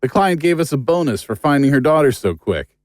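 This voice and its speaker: A man's voice: a little high, expressive, brisk, heavy background noise, natural voice. A man playing an upbeat investigator bantering with his partner in an audio drama, casual and chummy. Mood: pleased.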